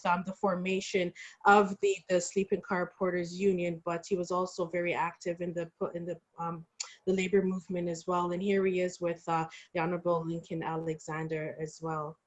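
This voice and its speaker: A woman panelist presenting historical material in an informal, explanatory and halting way. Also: fairly low pitch, lo-fi sound.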